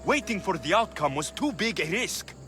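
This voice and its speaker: A man's voice: high voice, expressive, normal speed, a bit bright. A man playing a resolute, earnest Greek mercenary hero in a cinematic video game. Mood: earnest, pleading. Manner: resolute, earnest, dramatic delivery. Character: battle-hardened Greek mercenary hero, earnest, defiant older brother. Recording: music under the voice.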